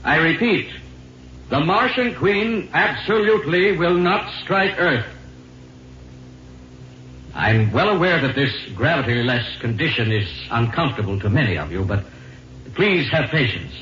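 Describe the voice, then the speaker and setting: A man's voice: fairly high pitch, lively intonation, a little slow, pushed and bright. An American man playing a weary, resolute spaceship captain in 1950s radio drama, clipped and commanding. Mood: firm, reassuring. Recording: muffled, noisy 1950s radio recording.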